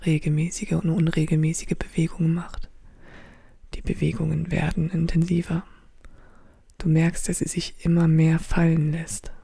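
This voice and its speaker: Hushed breathy woman whispering closely. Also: sits low, no echo. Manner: slow, intimate, even. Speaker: narrator. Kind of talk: whispered second-person audio drama narration. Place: studio.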